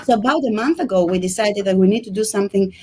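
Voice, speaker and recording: A woman from Greece in her thirties with a Greek accent, a history lecturer speaking in an interview with many pauses and ums. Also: mid-range voice, dark tone, slight background noise. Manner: hesitant, many ums and restarts. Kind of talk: casual interview talk.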